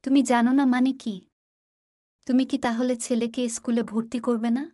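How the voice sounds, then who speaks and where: Woman as a high-voiced, worried village mother. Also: level tone, normal speed, mellow, dry recording, studio quality, close to an everyday voice. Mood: reproachful. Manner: plaintive, scolding.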